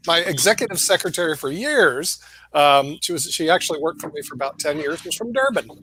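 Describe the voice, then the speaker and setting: A man's voice: fairly high pitch, expressive, sharp tone, rough recording. A man hosting a casual panel chat, who often stumbles and repeats words as he talks. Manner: halting, repeats words, chuckles. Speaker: panel host.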